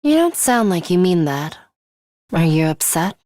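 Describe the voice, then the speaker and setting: A woman voicing a coolly pragmatic, blunt young female fighter in a video game, speaking in a measured, serious, clipped way. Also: fairly low pitch, very animated, dark tone, dry recording, studio quality. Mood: calm, clinical. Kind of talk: English video game voice acting.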